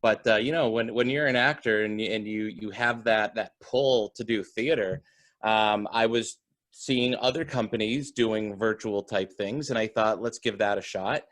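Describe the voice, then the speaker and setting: A man speaking conversationally and haltingly as a theater founder in an informal post-show talk. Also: fairly low pitch, fairly bright tone. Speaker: theater company founder and actor.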